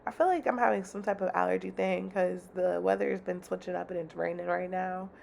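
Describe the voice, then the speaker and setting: Young woman talking makeup, stuffy nose, kinda raspy, upbeat and chatty. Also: lowish voice, fairly even intonation, fairly quick, a little mellow, slight background noise. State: congested, slightly raspy. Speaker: beauty vlogger. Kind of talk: casual makeup tutorial chat.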